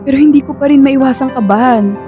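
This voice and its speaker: A woman's voice: high-pitched, fast talker, music in the background, natural voice. An actress playing a shy, self-doubting young woman, hesitant, in a Filipino radio drama. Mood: doubtful, worried. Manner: hesitant, insecure.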